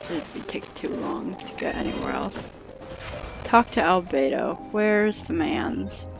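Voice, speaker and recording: A woman's voice: on the low side, huge pitch swings, a little slow, gravelly. A woman giving casual let's play commentary, chatting to herself and reading some dialogue aloud as she plays. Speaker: gamer, video creator. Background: game music.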